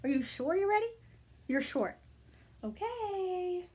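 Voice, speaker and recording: A woman reading a story aloud to children, emphatic and animated, with chatty asides. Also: very high voice, slow pace. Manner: animated, emphatic, addressing young listeners.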